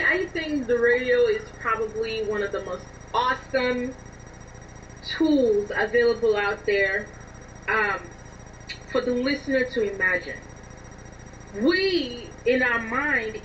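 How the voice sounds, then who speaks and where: A woman being interviewed, explaining things in an expressive, emphatic conversational way over a distant, dull and noisy connection. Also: on the high side. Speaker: interview guest, scriptwriter.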